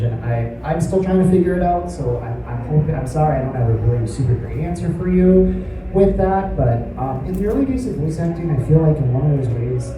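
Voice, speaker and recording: A man's voice: a little high, recorded from a distance. A man answers audience questions at a convention panel, rambling and making fun of himself. His voice bounces around a large echoing hall, caught by a mic well away from him. Constant room noise sits under every word. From United States. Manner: rambling, self-deprecating, apologetic. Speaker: convention panel speaker and voice actor. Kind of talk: casual panel Q&A.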